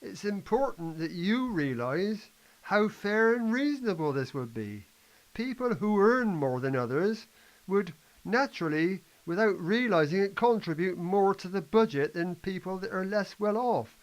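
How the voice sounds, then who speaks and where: Man acting as high-voiced reform advocate, lecturing. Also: expressive, a little slow, rough recording. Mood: earnest, explanatory. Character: confident, impatient male economic reform advocate briefing a doubter. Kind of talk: amateur scripted play reading.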